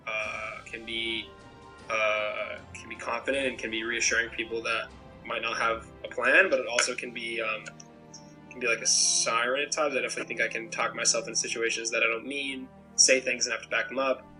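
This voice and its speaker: A man's voice: medium pitch, little pitch movement, a little fast, sharp tone, soft background music, poor audio. A young man from Maine talking about himself in a rambling, reflective way with many restarts, as a contestant in a pregame interview over a laptop webcam call from a small bedroom. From Maine, USA. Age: young adult. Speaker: game contestant. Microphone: laptop webcam video call.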